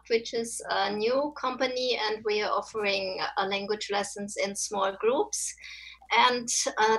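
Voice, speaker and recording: A woman's voice: on the high side, pushed and bright, poor audio. A woman who teaches German, opening and moderating an online panel with many hesitant fillers. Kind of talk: panel introduction.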